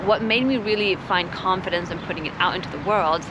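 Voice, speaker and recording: A woman from Sweden talking casually and reflectively as an interview guest, expressive and earnest in a conversational way. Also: fairly low pitch, fairly quick, muffled recording, noisy background. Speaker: author and climate educator, interview guest.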